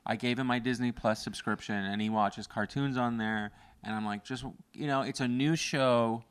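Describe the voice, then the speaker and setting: Animated man telling stories, smooth voice. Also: normal speed. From Canada. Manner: animated storyteller, bursts into loud high exclamations and sung bits. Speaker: podcast co-host. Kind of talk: casual chat.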